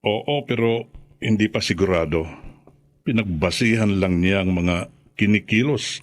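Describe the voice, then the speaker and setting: An actor playing an anxious older father-in-law in a radio drama, speaking haltingly and pressing for answers. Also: fairly low pitch, lightly acted. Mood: grave, secretive. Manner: halting, insistent questioning.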